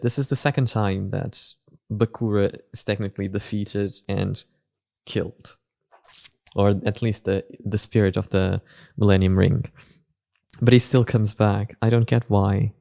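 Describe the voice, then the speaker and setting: Low mellow guy doing casual reaction commentary, bit gravelly, keeps pausing to find his words. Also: fairly even intonation. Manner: halting, searching for words. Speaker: reaction video host.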